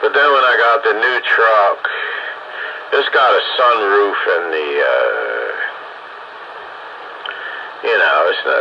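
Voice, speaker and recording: A man's voice: fairly low pitch, creaky. An older American man reminiscing casually and in a rambling way, heard over a two-way radio transmission. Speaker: radio hobbyist. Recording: noisy, dull lo-fi radio transmission.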